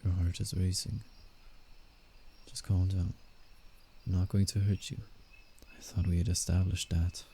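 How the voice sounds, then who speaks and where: A man voicing a gentle, protective werewolf in slow roleplay ASMR, hushed and soothing with a slightly condescending air and a low, gravelly voice. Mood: coaxing, serious. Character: gentle, protective male werewolf guiding a fragile human. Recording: steady night insect ambience, background noise. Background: crickets and night forest ambience.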